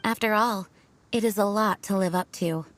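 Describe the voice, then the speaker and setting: A woman's voice: mid-range voice, normal speed, noisy background, lightly acted. A woman voicing a noble, dutiful knight commander in a video game, speaking formally and earnestly. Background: game music.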